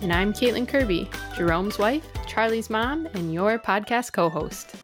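A woman who co-hosts a podcast, reading an introduction and asking her guest measured questions. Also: medium pitch, animated, music in the background. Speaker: podcast co-host.

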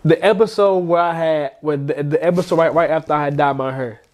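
A man's voice: a little high, fairly quick. A man in his twenties co-hosting a podcast, chatting casually in slangy banter, sounding congested. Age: 20s. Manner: animated slangy banter with frequent laughter. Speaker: podcast co-host. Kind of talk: casual chat.

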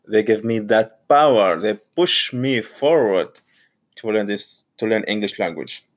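A man from Saudi Arabia, an Arabic speaker, talks casually in a slightly low voice. He moves at an unhurried, deliberate pace, pausing and repeating phrases as he searches for words. The tone is that of a teacher doing a personal monologue. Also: animated. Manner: halting, repeats and restarts phrases. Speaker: graduate student and teacher.

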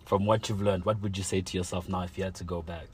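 Low-voiced young man chatting casually. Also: fast talker, breathy edge. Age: young adult. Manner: relaxed slangy talk full of "like" and "you know". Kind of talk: casual chat, telling a personal story.

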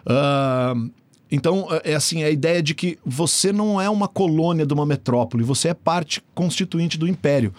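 A man's voice: a little high, normal speed, a little mellow, dry recording. A man. He is a researcher giving an interview, explaining things in a thoughtful, hesitant way with frequent restarts. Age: 40s. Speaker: researcher guest.

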